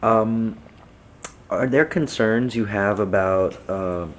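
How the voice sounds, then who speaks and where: A man's voice: lowish voice, slow, a little hiss or hum. A man conducting an unscripted interview, feeling his way through questions with hesitant, tentative phrasing.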